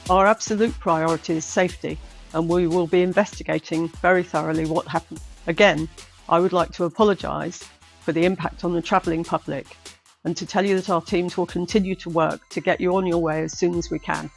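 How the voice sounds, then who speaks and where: A woman's voice: on the low side, fairly even intonation, brisk, vocal fry, faint music behind. A woman giving a formal public statement as a company spokesperson. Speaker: operations director, company spokesperson.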